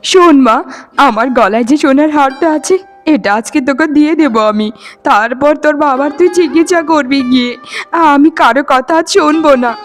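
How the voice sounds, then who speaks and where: Woman acting reproachful kind aunt, high voice. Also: normal speed, lightly acted. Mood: resolute. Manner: reproachful, entreating. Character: kind-hearted, defiant aunt, wife of cruel husband. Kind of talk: melodramatic Bengali cartoon voice acting.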